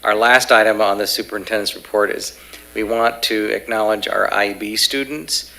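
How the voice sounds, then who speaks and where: A man's voice: fairly low pitch, steady tone, moderate pace, vocal fry, bright, forceful tone, rough recording. A man, a school district official, speaking informally at a public board meeting in a school auditorium and repeating words as he goes.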